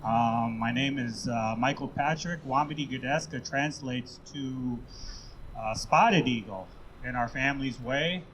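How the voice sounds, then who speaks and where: An American man giving an impassioned speech at an outdoor rally, speaking in a halting, earnest way and leaning on repeated phrases. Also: medium pitch, a bit bright, slight background noise, poor audio. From Yankton Sioux Nation, Sioux City, Iowa, United States. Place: outdoors at a downtown courthouse rally.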